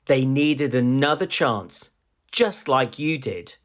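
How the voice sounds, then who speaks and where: A calm, forgiving God, male and patient, as a storybook reader voices him, slow delivery, muffled lo-fi sound. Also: medium pitch.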